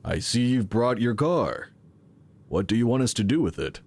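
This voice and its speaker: A man's voice: mid-range voice, expressive, creaky, darkish tone. An actor playing a welcoming, solicitous male leader of a futuristic organization in a sci-fi audio drama. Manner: unhurried, solicitous.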